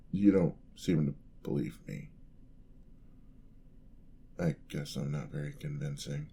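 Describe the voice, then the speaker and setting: A man's voice: low voice, gravelly, dark tone, breathy edge, exaggerated voice. A man playing a clumsy, earnest young paladin apprentice in a fantasy ASMR roleplay, with a hesitant, bashful, polite stammer.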